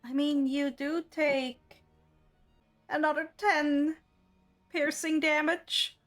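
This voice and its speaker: A woman's voice: high-pitched, fairly even intonation, takes it slowly, faint music behind. A woman running an online tabletop roleplaying game as game master, narrating and calling for rolls.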